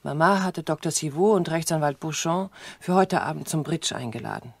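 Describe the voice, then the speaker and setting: An actress playing a devoted daughter under suspicion in a radio drama, anxious and defensive, with pleading questions. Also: female voice, low voice, moderate pace, creaky, breathy edge, no room sound, exaggerated voice. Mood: troubled. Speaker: doctor's suspected patient's daughter.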